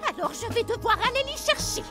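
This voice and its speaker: A woman's voice: very high voice, expressive, fairly quick. A woman voicing a lonely, anxious, fretful mother in a French cartoon. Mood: sad, wistful. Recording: music clearly behind the voice.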